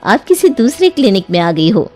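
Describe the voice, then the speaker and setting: A woman's voice: medium pitch, sing-song, moderate pace, a little mellow. A woman voicing a puzzled, matter-of-fact clinic doctor in a cartoon moral story. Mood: puzzled, dismissive. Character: brisk, puzzled clinic doctor turning away visitors. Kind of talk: animated Hindi moral story dialogue. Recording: music behind the voice.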